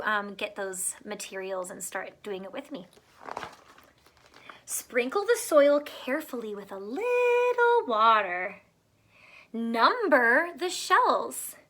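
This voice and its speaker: High-pitched woman reading slowly to children. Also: very animated, pushed and bright. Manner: instructive, asks questions and explains simply to young listeners. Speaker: teacher reading aloud to children. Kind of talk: children's picture-book read-aloud with explanatory asides.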